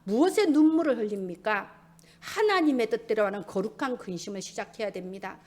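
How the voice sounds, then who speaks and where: A woman preaches in a high-pitched voice. Her delivery is emphatic and urgent, as she exhorts listeners with Bible stories and presses them with rhetorical questions. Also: lively intonation, brisk, a little mellow.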